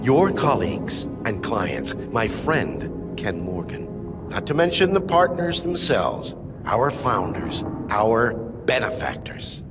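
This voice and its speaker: An actor playing a scheming middle-aged lawyer of a secret society in a video game cinematic, speaking in a theatrical, oratorical way. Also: male voice, mid-range voice, very animated, slow, over music. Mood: accusing, rousing.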